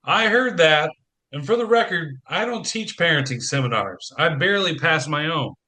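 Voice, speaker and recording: A man's voice: a little high, expressive, normal speed, pushed and bright. A man acting in an audio play as a harried, wry, affectionate businessman father with dry sarcasm. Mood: wry, busy.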